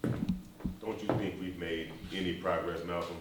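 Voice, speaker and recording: Echoey, low-voiced solemn preacher played by a man. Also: steady tone. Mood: quiet, earnest. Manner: measured, earnest, dignified, deliberate phrasing. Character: solemn, patient preacher leading a nonviolent movement. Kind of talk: theatrical stage acting, measured oratory. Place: stage performance, recorded from far off. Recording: echoey distant stage recording, noisy.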